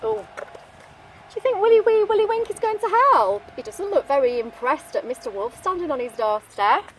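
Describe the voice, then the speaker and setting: Animated high-pitched woman reading a storybook. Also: moderate pace, slight background noise, poor audio. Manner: expressive, chatty asides and questions to child listeners. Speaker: storybook reader, teacher-like. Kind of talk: reading a picture book aloud to young children.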